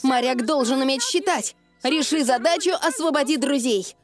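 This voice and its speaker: A woman's voice: sits high, expressive, normal speed, soft background music. A woman voicing a grumbling but game young schoolchild detective in a cartoon dub. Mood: focused.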